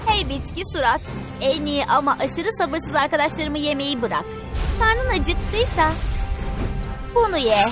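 A woman's voice: high-pitched, big pitch swings, unhurried. A woman voicing a clever, cautious cartoon cave girl who likes to say I told you so, in a dub. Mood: scolding. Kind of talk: cartoon dubbing. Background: background music.

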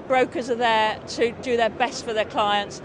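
A woman's voice: a little high, fairly even intonation, normal speed. A middle-aged woman, an insurance broker, enthusiastically advocating in a vox pop at a busy exhibition hall. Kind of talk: vox pop interview answer. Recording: background hall noise.

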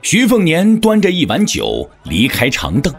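A man narrating an audio drama as scripted storytelling, in a measured, theatrical manner. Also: fairly high pitch, wildly swooping pitch, vocal fry, slightly rough, soft background music.

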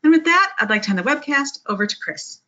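A woman moderating a webinar, reading the introduction in an even, scripted way. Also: high voice, quick pace. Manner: even, read-from-script delivery. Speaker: webcast moderator. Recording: dull lo-fi webinar audio.

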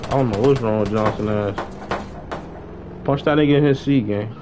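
A man's voice: fairly low pitch, moderate pace. A young American man chatting casually on a gaming live stream, repeating phrases and using heavy street slang. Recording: noisy live stream with game sounds.

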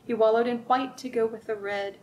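Expressive middle-aged woman reading to children. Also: a little high, muffled recording. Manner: expressive, sing-song rhymes with drawn-out words, asks the children questions. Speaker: children's librarian, storytime host. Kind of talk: read-aloud storytime for young children. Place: library room with bookshelves, indoors.

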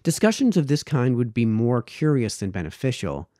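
A man reads a formal old essay aloud with a soft, relaxed tone. His pitch rises and falls a lot over long, measured sentences, and he sometimes trips on a word. He is close to the mic in a dry indoor room. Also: dry recording. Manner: careful, measured, stumbles over words and rereads them. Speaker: reader, host of a read-aloud series. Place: small indoor home study. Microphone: close microphone on a boom arm.

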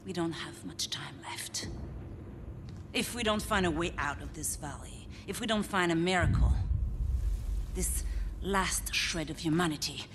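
An actress playing a grim, embittered middle-aged officer in a video game, speaking in a stern, terse, dramatic way that often drops to an intense hush. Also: female voice, fairly low pitch, big pitch swings, unhurried, noisy background. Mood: grim, desperate. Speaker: military commander. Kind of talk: cinematic video game acting.

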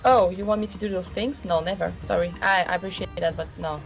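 A woman's voice: fairly low pitch, steady tone, quick pace, creaky, faint music behind, low-quality recording. A woman improvising in character in an online role-play game, speaking hesitantly.

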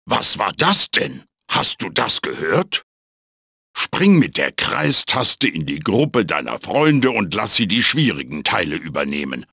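An actor voicing a wise, bossy old magical wooden mask in a video game, raspy and theatrical, urging and instructing like a mentor. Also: male voice, fairly low pitch, sing-song, measured pace, pushed and bright, no echo, clean studio sound, heavily acted. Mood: playful, wry. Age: older. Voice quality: raspy, gravelly. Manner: theatrical, emphatic, exhorting. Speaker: guide and tutorial mentor. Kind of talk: video game character voice acting.

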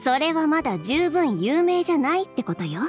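Game casting: confident young woman designer, high and expressive, brisk, dryly frank, no-nonsense. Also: soft background music. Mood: businesslike, frank. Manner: matter-of-fact, decisive, frank. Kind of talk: Japanese anime game voice acting.

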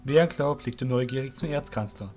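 A man's voice: medium pitch, moderate pace, mellow, faint music behind. A man giving scripted narration for a fantasy audio drama.

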